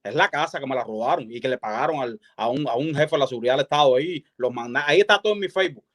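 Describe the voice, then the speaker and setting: A man's voice: medium pitch, very fast, fairly bright tone. A man with a Cuban accent speaking as an activist guest on a live stream, emphatic and repetitive, often checking that he is understood. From Cuba. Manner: insistent, repetitive, emphatic, peppered with check-in questions. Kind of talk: impassioned political talk on a live stream.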